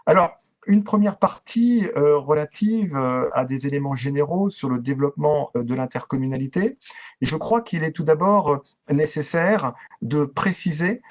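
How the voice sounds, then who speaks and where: A man giving a formal, methodical online training presentation in speech, hesitating very often with drawn-out filler sounds. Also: on the high side. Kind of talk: explanatory online presentation to local councillors. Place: video conference with shared screen. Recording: dull, lo-fi video-call audio.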